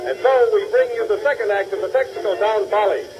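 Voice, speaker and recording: A man's voice: sits high, fast, soft background music. A man announcing a 1930s radio show in a showy, declamatory way. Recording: dull lo-fi 1930s radio recording.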